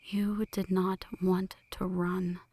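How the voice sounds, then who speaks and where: A woman playing an ancient, lovestruck vampire in a slow, breathy whispered ASMR roleplay, intimate and solemn, close to the microphone. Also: on the low side, level tone, mellow, no room sound. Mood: wistful, intense. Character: ancient flirty female vampire, brooding, lovestruck, world-weary. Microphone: close microphone, dry.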